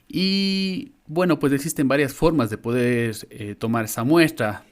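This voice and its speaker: A middle-aged man speaks Spanish with a Latin American accent in a medium-pitched voice. He explains material like a university lecturer teaching online from an indoor room. His delivery is informal, with frequent short check-in questions at the ends of phrases. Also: unhurried.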